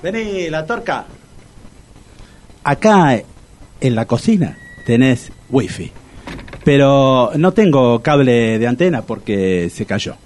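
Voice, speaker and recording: An actor playing an anxious, argumentative man in his sixties in a comic radio drama, with a husky Rioplatense Argentine accent and a pedantic, repetitive manner. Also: mid-range voice, sing-song, slow, pauses often, a little mellow. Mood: worried. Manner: exasperated, pedantic, repeats himself. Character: Tito, anxious, argumentative sixty-year-old man, lovesick amateur psychologist.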